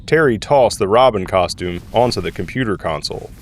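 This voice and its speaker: A man serves as narrator of an audio drama. His voice sits fairly low as he reads scripted prose, with a faint bed of background noise behind him. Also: lively intonation, fairly quick.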